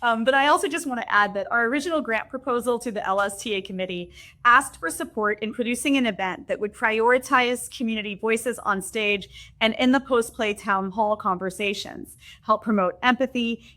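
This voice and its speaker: Female host, slightly high voice, formal prepared read. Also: normal speed, pushed and bright. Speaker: library development director hosting. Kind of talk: reading prepared remarks.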